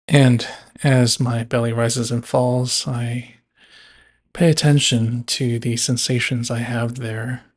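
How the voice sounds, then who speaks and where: A man guiding a body scan meditation in a measured way, leaving long pauses between phrases. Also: medium pitch, little pitch movement. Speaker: meditation guide.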